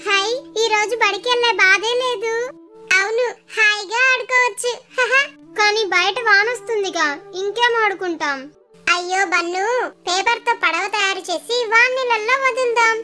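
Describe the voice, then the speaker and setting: A woman voicing playful, bickering young children in a cartoon story, excitable, teasing and singsong. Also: very high voice, normal speed, soft background music. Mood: eager, playful. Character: squabbling young children, playful brother and sister.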